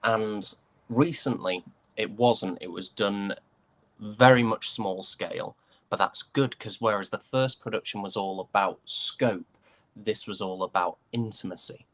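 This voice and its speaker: A fairly low-pitched man from the UK talks casually as a guest in an interview. He is thoughtful and hesitant, circling back over his words as he forms an answer. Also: a little slow. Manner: hesitant, thoughtful, trailing repetitions. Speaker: interview guest, stage actor.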